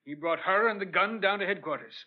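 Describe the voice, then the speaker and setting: An actor playing a gruff, sarcastic, suspicious police lieutenant with slangy, wisecracking talk in an old-time radio detective drama. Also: male voice, high voice, fast, close to an everyday voice. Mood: gruff, blunt. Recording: dull old radio recording.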